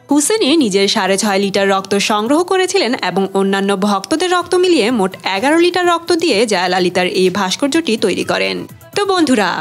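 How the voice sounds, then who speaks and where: A woman delivers a scripted gossip narration with lively, swinging intonation. She addresses her viewers like a presenter, tossing out rhetorical questions as she tells each story. Soft music plays behind her voice. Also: medium pitch. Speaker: narrator and host of a celebrity gossip channel.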